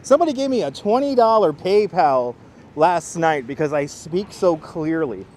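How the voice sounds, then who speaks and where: A man with a Brooklyn New York accent, chatting casually to live-stream viewers as a local guide, speaking clearly and deliberately. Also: a little high, animated, a little mellow. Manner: clear, deliberate enunciation, rambling. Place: outdoors on city streets by the seaside. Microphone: wireless clip-on mic. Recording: outdoor urban street noise.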